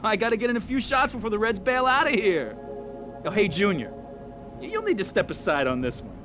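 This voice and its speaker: An actor playing an excitable, self-important resistance fighter in a video game, blustering and stammering as he talks. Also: high-pitched, a little fast, music in the background. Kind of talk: video game cutscene acting.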